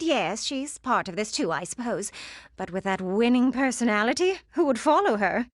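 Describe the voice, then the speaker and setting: A woman voicing a vain, gushing gem queen villain in an animated game, theatrical and condescending. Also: high voice, no echo, studio quality.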